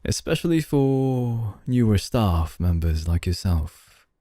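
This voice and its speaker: A man voicing a dark, low, unhurried young CEO. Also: sing-song, dry recording, clean studio sound. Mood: smooth, welcoming. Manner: slow, deliberate, smooth formal courtesy, soft chuckles. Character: suave young male CEO, calm, possessive, quietly domineering. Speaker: voice actor. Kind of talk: ASMR audio roleplay.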